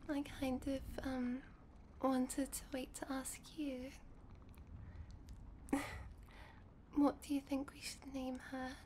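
Very high, whispery vampire girlfriend, acted by a woman. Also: completely flat delivery, slow, pauses often, a little hiss or hum. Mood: affectionate, shy. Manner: soft, breathy whispering, hesitant and giggly. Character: sweet, shy, loving young female vampire girlfriend. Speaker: roleplay voice performer. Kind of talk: intimate ASMR girlfriend roleplay.

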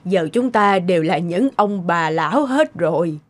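A woman voicing a sad, gentle elderly woman in a cartoon, speaking hesitantly. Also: on the low side, lively intonation, unhurried. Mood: wry. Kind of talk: cartoon dubbing.

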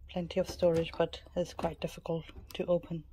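Female travel vlogger, low even voice, plain and matter-of-fact room tour, some ambient noise behind her. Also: a little fast. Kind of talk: casual cabin tour narration. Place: mostly a small cruise ship cabin. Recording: clear background ambient noise.

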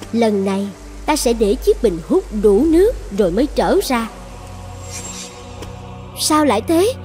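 A high female voice for a proud, passionate cartoon dragon goddess, acted by a woman. The delivery is theatrical and expressive, like a fairy tale told for children. Faint music plays underneath. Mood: frustrated, suspicious. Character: proud dragon goddess, devoted wife turned vengeful.

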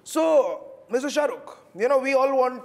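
An actor playing an awkward, self-important parody interviewer, with an Indian accent and a halting, pleading manner. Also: male voice, extremely high pitch, lively intonation. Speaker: mock talk show host. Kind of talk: scripted parody celebrity interview.

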